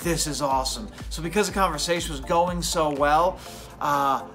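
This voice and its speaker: A man's voice: on the high side, moderate pace, over music. Chatty youth pastor in his forties. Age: 40s. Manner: relaxed, chatty, self-deprecating. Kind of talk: casual vlog narration.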